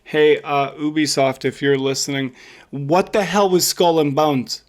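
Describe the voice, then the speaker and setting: Male podcast co-host, somewhat higher-pitched, casual, slangy, emphatic and excitable. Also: unhurried. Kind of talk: casual chat about video games and films.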